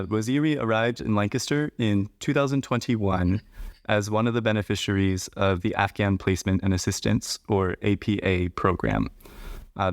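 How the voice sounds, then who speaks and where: A man hosting a podcast interview, speaking in a measured, explanatory way. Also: on the low side, no room sound, clean studio sound. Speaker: podcast host.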